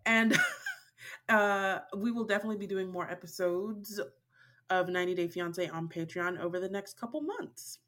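Woman, podcast co-host, casual, animated, laughs a lot. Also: medium pitch, normal speed. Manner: animated, laughs often, plugs Patreon. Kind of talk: casual chat.

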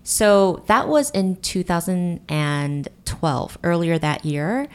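A woman's voice: fairly low pitch, animated, takes it slowly, a little mellow, smooth. Earnest, fluent woman in her thirties. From United States and Korea. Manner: fluent, earnest, frequent "you know" and "really". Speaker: guest, beauty company founder. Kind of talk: podcast interview answers.

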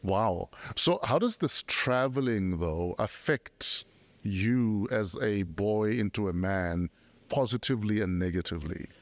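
A male podcast host interviews his guest with teasing jokes and quick asides. His pitch swings widely as he talks. The sound is dry and close to the mic. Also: expressive, no echo. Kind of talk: casual interview chat.

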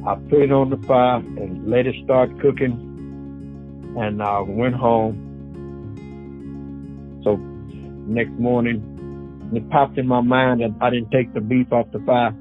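A man's voice: mid-range voice, unhurried, long pauses. Man in his seventies, phone-like sound. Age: 70s. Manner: folksy, animated, loose Southern grammar. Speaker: barbecue pitmaster. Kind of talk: personal storytelling. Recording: phone-like narrow sound with faint music.

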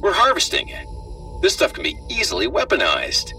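A man's voice: high-pitched, wildly swooping pitch, fairly quick, pushed and bright, recorded from a distance, soft background music, pitched above the performer's own voice, heavily acted. A man voicing a callous, flippant government doctor in a hazmat suit, with a robotic, matter-of-fact delivery in a horror story. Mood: smug. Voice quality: robotic, muffled through a suit. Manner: matter-of-fact, darkly joking.

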